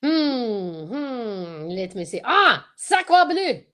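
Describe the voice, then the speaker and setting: A woman voicing a shrewd male detective in an amateur radio drama, formal and halting. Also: fairly high pitch, big pitch swings, fairly bright tone, exaggerated voice. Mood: pondering. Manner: formal, deliberate, halting, peppered with French phrases.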